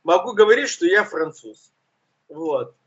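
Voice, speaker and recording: A man with a burred, uvular R, co-hosting a casual news chat and talking in a relaxed, self-mocking way with many fillers. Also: sits high, measured pace, lo-fi sound. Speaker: co-host, journalist.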